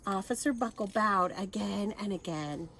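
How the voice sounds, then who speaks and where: A woman reading a picture book aloud to children in an expressive manner, adding asides and questions for her young listeners. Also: medium pitch, moderate pace, slightly rough.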